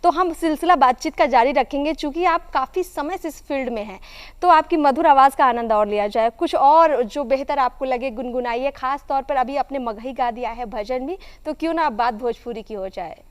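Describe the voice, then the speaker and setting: A woman works as a television interview host. Her voice sits very high in pitch. She talks in effusive, ornate sentences as she guides her guest along. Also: moderate pace, few pauses, clean tone. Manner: effusive, flowery phrasing. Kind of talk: broadcast interview hosting.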